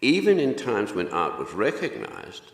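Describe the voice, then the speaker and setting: A very low-pitched actor playing a melancholic male painter speaks with solemn hesitation in an audio drama. His delivery moves widely up and down as he philosophizes gently, and long reverb trails each phrase. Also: wildly swooping pitch, normal speed. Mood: reflective. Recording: echoey hall with long reverb.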